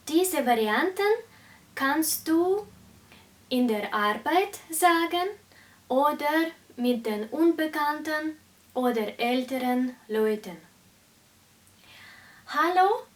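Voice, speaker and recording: Slow high-voiced teacher. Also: female voice, distant mic. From Russia. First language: Russian. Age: 20s. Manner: slow, carefully articulated teaching delivery. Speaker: German teacher. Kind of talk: beginner language lesson.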